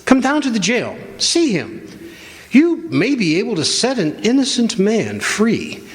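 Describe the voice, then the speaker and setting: Actor as persistent reporter, high animated male voice, insistent questions, unhurried, radio play, echoing hall. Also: exaggerated voice. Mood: pleading, insistent. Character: earnest, persistent newspaper reporter. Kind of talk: radio drama acting. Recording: echoey hall stage recording.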